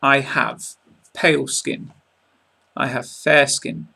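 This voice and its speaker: A young English man in his twenties with an English accent, giving an online lesson to a webcam in a small room, speaking in a clear, measured teaching manner with casual asides. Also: medium pitch, fairly even intonation, pushed and bright. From England. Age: 20s. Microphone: webcam at close range.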